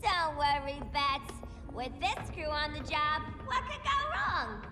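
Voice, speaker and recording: An actress playing a zany, cheeky reformed villainess in a video game, slangy and bubbly. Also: female voice, sits high, big pitch swings, takes it slowly, over music, full character voice. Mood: cheeky, playful. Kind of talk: video game cutscene acting.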